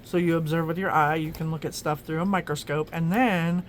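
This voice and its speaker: A woman's voice: sits low, steady tone, a little fast, slight background noise. A woman from Oklahoma chatting casually as she flips through her journal, rambling with lots of ums and restarts. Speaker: journaling vlogger.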